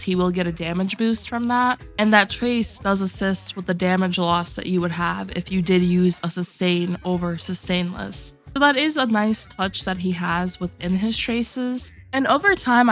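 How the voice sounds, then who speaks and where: Woman with a sore throat explaining game rankings. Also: medium pitch. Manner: steady explanatory walkthrough, addressing viewers directly. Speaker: gaming video host. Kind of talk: game tier list commentary.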